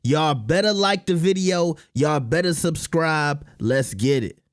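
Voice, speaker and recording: A man's voice: a little high, unhurried, dry recording, studio quality. An American man giving a casual introduction and commentary as a video channel host.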